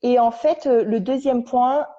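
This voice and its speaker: A young woman, a podcast guest, casually telling her story at home on a couch, halting and restarting as she searches for her words. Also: a little high, mellow. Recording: dull lo-fi recording.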